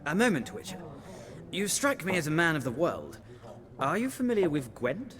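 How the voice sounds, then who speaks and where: A man's voice: on the high side, sing-song, normal speed, noisy background. An actor playing a proud, cultured male city scholar in a video game, eloquent and condescending. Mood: haughty.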